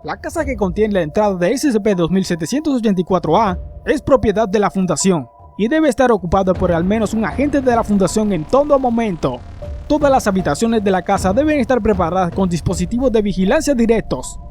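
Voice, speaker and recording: A man's voice: high voice, lively intonation, fairly quick, a little mellow, soft background music. A man reading scripted narration in the manner of a formal report for a horror fiction channel.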